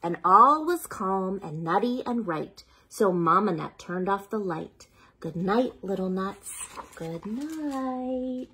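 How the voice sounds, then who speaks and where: A woman reading picture books aloud to young children, playful and dramatic, pausing for chatty asides and questions to the listener. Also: mid-range voice, expressive, sharp tone, breathy edge, poor audio.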